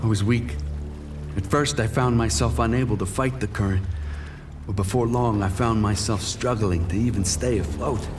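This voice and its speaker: An actor playing a wounded, proud and desperate drug dealer in a dramatic first-person noir crime audio drama. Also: male voice, fairly low pitch, moderate pace, recorded from a distance, natural voice. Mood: grim, despairing. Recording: studio voice under prominent music.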